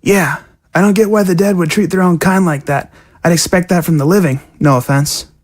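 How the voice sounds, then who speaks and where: A woman playing a youthful zombie prisoner, frank and low-key, talking casually in an audio drama. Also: brisk, close to an everyday voice. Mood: confiding. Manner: casual, matter-of-fact.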